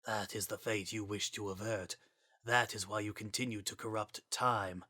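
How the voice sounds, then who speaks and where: A stern spectral scientist voiced by a man with a bright, incisive tone. He lectures in grave, formal language, passing solemn judgment in a dramatized fantasy story. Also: lowish voice, fairly even intonation, normal speed. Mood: stern, judgmental. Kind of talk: dramatic reading of fan fiction.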